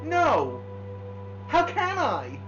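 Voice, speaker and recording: Young storybook girl, high, earnest, emotional, played by a female narrator, music underneath. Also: wildly swooping pitch, drawn-out words, full character voice. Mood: alarmed. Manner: pleading, emotional. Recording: dull lo-fi sound, music behind.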